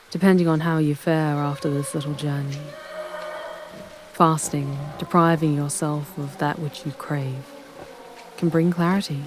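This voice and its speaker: Woman acting a low, sultry demoness, haughty. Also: darkish tone, slight background noise. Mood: musing, taunting. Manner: haughty, theatrical, formal phrasing. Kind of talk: ASMR roleplay, dramatic monologue to the listener.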